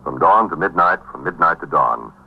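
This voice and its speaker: An actor playing a grave, brooding crime drama narrator with solemn, measured, portentous 1950s radio delivery. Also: male voice, sits low, expressive, creaky, slightly rough, exaggerated voice. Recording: dull 1950s radio recording.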